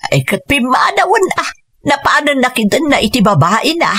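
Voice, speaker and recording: Worn-out single mom in a radio play, husky low voice, dry sound. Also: female voice, moderate pace, dry recording, full character voice. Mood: worried. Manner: steady, earnest talk. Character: abandoned mother, resolute and weary. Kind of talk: radio drama acting.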